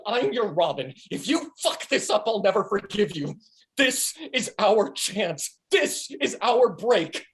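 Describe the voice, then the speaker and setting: A woman's voice: medium pitch, sing-song, a little slow, slight background noise. A woman playing a foul-mouthed, defiant male sidekick in a comedy table read, with pleading, theatrical delivery. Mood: desperate, defiant. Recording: video call.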